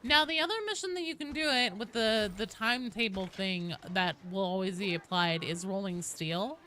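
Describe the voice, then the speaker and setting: A woman's voice: mid-range voice, normal speed, pushed and bright. A woman game streamer chatting casually and playfully with her live viewers in an informal way. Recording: clear background noise behind voice.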